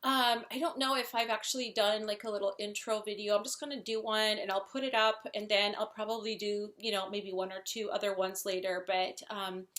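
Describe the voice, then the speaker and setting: Woman, Wisconsin accent, medium pitch, casual vlog chat, effusive and emphatic. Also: fairly even intonation, a little fast, few pauses, a bit bright. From Wisconsin, USA, and central Mexico. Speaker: vlogger introducing herself.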